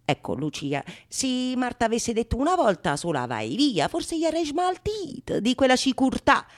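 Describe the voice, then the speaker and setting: A woman's voice: on the high side, big pitch swings, moderate pace. A woman playing a bawdy, boastful man who theatrically recounts his seductions in a radio theatre monologue. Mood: sly confiding. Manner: theatrical, boastful, mock-tender when quoting himself.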